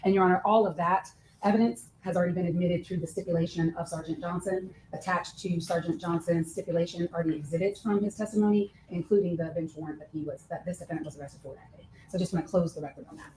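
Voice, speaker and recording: A woman prosecutor questioning a witness and addressing the judge in a measured, formal way. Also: fairly low pitch, steady tone, a little mellow, slight background noise, rough recording. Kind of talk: courtroom questioning. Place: courtroom.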